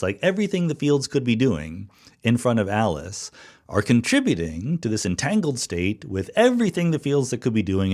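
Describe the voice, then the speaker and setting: A man's voice: on the low side, a little mellow. A man hosting a podcast, giving informal, off-the-cuff explanatory answers and qualifying his points as he goes. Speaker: podcast host. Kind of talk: off-the-cuff spoken answers to listener questions.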